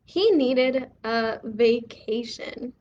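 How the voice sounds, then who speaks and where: Slow, high-voiced woman reading bedtime stories. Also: dull audio, slight background noise. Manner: expressive, addresses child listeners with questions. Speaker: children's story reader and host. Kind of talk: bedtime story read-aloud for young children.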